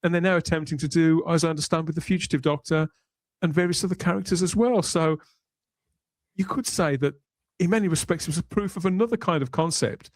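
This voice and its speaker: Higher-pitched man hosting, dry close sound. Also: fast, no echo. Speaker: podcast host. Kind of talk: panel discussion on a sci-fi podcast.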